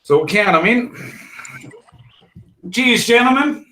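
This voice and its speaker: A man with an Australian accent hosting a casual fishing show, his voice hoarse, talking in a rambling, chatty way with many fillers and restarts. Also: sits high, expressive, slow, sharp tone. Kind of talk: casual live stream chat.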